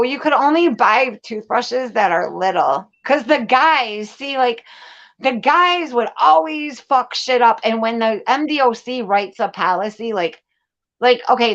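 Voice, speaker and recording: A woman's voice: fairly high pitch, expressive, a little slow, a bit bright, dull audio. A woman chatting candidly and casually, telling stories in blunt, profane slang. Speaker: talk show panelist recounting prison life.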